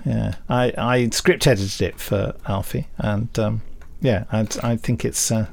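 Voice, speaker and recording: A man's voice: lowish voice, fairly even intonation, a little slow, vocal fry, a little mellow, slight background noise. A man co-hosting a podcast with casual news chat and rambling, hesitant asides. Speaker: podcast co-host, script editor and director.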